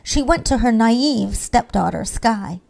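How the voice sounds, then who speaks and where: A woman's voice: a little high, animated, takes it slowly, gravelly, a little mellow. A woman reading a scripted fairy-tale narration as the storyteller.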